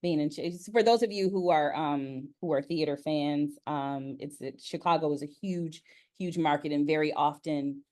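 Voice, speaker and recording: A woman hosting a casual interview, chatting in an animated, expressive way. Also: low-pitched, normal speed. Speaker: interview host. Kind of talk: casual chat.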